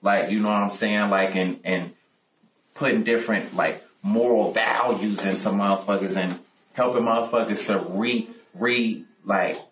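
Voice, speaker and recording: Chicago man guest, earnest and profane, lots of fillers; a lowish voice in an echoey room. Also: steady tone, moderate pace, a bit bright. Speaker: guest entertainer. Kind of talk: casual podcast chat. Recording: roomy room with light noise.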